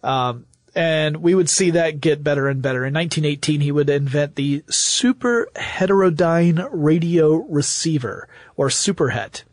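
Higher-voiced man, enthusiastic explainer. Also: normal speed, no echo. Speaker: podcast host. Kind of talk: explanatory technology podcast conversation. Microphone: close studio microphone.

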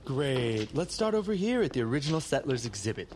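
A man's voice: mid-range voice, very animated, brisk, noisy background, natural voice. A man playing a polite, formal, easily flustered small-town museum guide in an audio drama. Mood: polite, eager.

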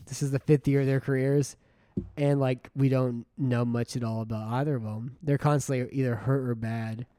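A man's voice: mid-range voice, mellow, smooth, no room sound. A young man co-hosting a casual sports podcast, rambling with lots of fillers in a laid-back way. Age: young adult. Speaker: podcast co-host.

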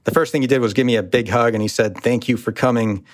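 An American man telling personal stories as an interview guest, in a reflective, halting way. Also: mid-range voice, steady tone, no room sound, clean studio sound. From United States.

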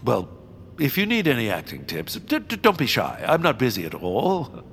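Pompous, self-pitying comic baron, mid pitch with big swoops, noisy recording. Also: male voice, huge pitch swings, vocal fry, fairly bright tone, exaggerated voice. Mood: wistful, needy. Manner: theatrical, pompous, halting. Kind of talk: audio comedy drama acting.

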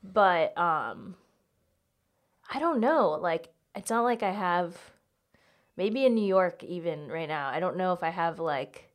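A woman's voice: fairly low pitch, lively intonation, slow. A young American woman chatting casually and telling personal anecdotes, with lots of "like" in her informal speech. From United States (South Carolina and Virginia). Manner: informal, heavy use of "like". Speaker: guest, comedian.

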